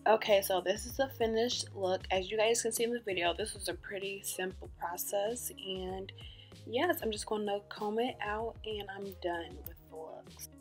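A woman in her twenties with a dry, deadpan voice, chatting casually through a hair tutorial as a beauty vlogger. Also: mid-range voice, moderate pace. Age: 20s. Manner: monotone, deadpan delivery. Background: background music.

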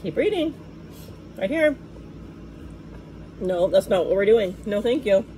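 A middle-aged woman speaks in short, firm prompts as she tutors a child in reading. Her pitch is average, and she is recorded at home with faint noise behind her. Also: lively intonation, measured pace, dark tone, rough recording. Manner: firm, directive. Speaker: parent-like helper coaching a child reading. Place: wood-paneled room at home.

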